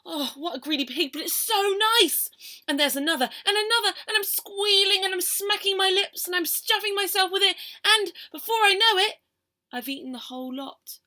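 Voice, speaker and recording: A woman reading a children's poem aloud in a theatrical, expressive storytelling manner. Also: extremely high pitch, normal speed. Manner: theatrical, expressive, with hushed whispers and exclamations. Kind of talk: animated children's poem performance.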